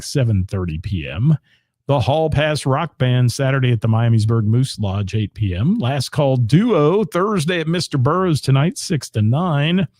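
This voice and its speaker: A man's voice: mid-range voice, dark tone, no room sound, clean studio sound. A man, hosting a live show and rattling off local gig listings with asides to the chat. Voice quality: gravelly voice. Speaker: radio host.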